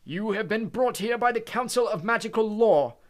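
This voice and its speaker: A man's voice: high-pitched, lively intonation, normal speed, pitched above the performer's own voice. A man voicing a stern, furious older judge who bellows his verdicts. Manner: bellowing, cold and harsh.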